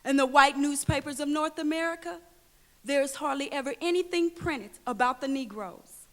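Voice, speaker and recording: A woman playing an impassioned, defiant activist giving a deliberate, declamatory political address on stage. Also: sits high, a bit bright. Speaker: political speaker. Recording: long hall reverb.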